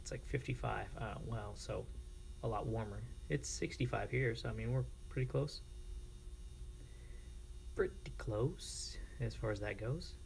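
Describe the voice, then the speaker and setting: A man with an American accent talks casually to his livestream viewers. His voice is middling in pitch and quite gravelly, with lots of vocal fry. A faint electrical hum sits under the recording. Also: a little slow. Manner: informal, chatty, reads and reacts to viewer comments. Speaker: live streamer.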